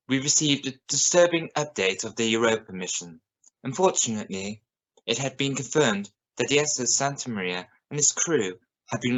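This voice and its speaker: Measured, serious radio news anchor, played by a man. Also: mid-range voice, a bit bright, lightly acted, rough recording. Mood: solemn, formal. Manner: formal, measured, probing. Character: serious, probing male evening news reporter of the future. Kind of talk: scripted radio news broadcast in a drama.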